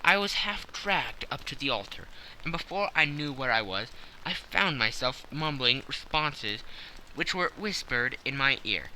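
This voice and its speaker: A man's voice: mid-range voice, lively intonation, measured pace, sharp tone, slight background noise, low-quality recording. A man narrating a classic story as a scripted, dramatic audiobook.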